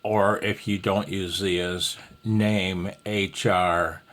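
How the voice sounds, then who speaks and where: Male instructor, low voice, unhurried delivery, folksy and self-deprecating, a touch nervous, informal rambling lesson. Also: level tone, a bit bright. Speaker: amateur radio instructor. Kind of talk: casual, rambling tutorial talk.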